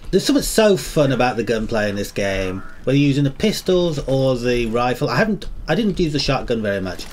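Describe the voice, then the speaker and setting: A man with a slightly croaky voice giving rambling, enthusiastic commentary while playing a game. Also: medium pitch, big pitch swings, fairly quick, a little hiss or hum. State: slightly croaky, under the weather. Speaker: gameplay commentator. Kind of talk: casual gameplay commentary.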